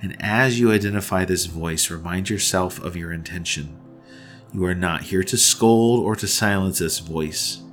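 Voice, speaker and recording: A man leading a guided meditation in a slow, soothing way, often repeating his phrases. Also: sits low. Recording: soft background music.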